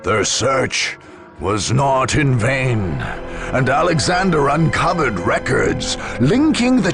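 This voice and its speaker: A man's voice: mid-range voice, gravelly. Dramatic male game narrator with sweeping intonation. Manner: solemn, formal storytelling delivery.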